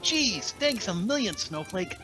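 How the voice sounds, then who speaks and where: Man acting brash, wisecracking elf with lively pitch. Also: a little slow, over music. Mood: wry, impressed. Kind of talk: old-time radio comedy acting.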